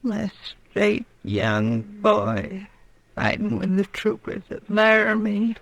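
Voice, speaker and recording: An actress playing a grief-stricken frontier widow in a radio western, speaking in a halting, bleak, resolute way. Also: female voice, mid-range voice, expressive, gravelly, exaggerated voice. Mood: bitter grief. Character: grief-stricken frontier widow confessing to killing her husband. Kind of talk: old radio western acting.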